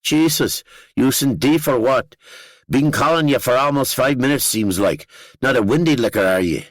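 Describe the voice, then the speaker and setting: A man voicing a rowdy, hard-drinking young Northern Irish man in his twenties, teasing and loud in a Northern Irish accent. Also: mid-range voice, normal speed, dry recording, voice pushed up, close to an everyday voice. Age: 20s.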